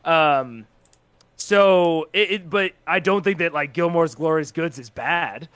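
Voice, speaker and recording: Guy w a lightish higher voice, no room echo, chatty game master explaining his style. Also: takes it slowly, a bit bright, clean tone. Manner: explanatory, informal. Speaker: guest, content creator and game master. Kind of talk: casual interview chat about tabletop games.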